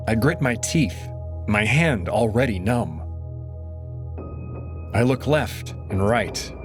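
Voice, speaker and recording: Male narrator, first-person visual novel, lowish voice, lively intonation, faint music.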